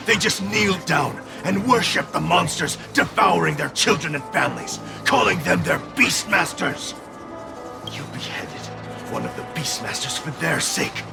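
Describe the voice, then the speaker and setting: Gravelly, taunting male spirit. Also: sits high, heavily acted. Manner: taunting, theatrical, menacing. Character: sinister, mocking male spirit companion, bloodthirsty, tempting, scornful. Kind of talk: dramatic video game voice acting.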